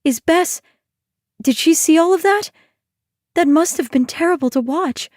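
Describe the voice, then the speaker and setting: A woman's voice: extremely high pitch, unhurried, a little mellow, no room sound, studio quality, pitched above the performer's own voice. A woman voicing an anxious young woman, worried and pleading in manner. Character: anxious young woman, shaken after battle.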